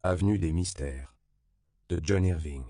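A man reading a novel aloud as an audiobook narrator, in a calm, measured way. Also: deep voice, no room sound, clean studio sound.

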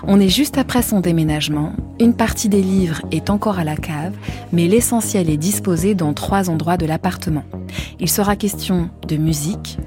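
Woman narrator, fairly low pitch, calm, scripted, music behind. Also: normal speed. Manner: calm, literary delivery. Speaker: program narrator.

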